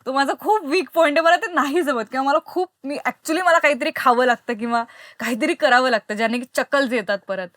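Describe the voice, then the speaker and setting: A woman's voice: high-pitched, fairly quick, few pauses, fairly bright tone, no room sound, clean studio sound. A woman with an Indian accent hosting a casual podcast interview, giggly and slipping English into her talk. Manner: giggly, code-mixes with English. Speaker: podcast host.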